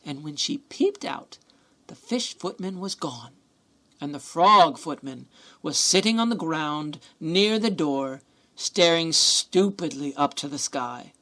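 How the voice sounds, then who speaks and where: A woman narrating a radio play in an expressive, scripted storybook style. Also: deep voice, takes it slowly, heavily acted.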